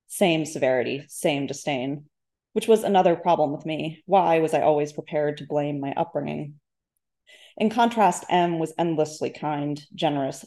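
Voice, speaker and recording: A woman author reading literary first-person fiction aloud in measured, deliberate phrases. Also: low-pitched, dark tone. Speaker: debut author, guest.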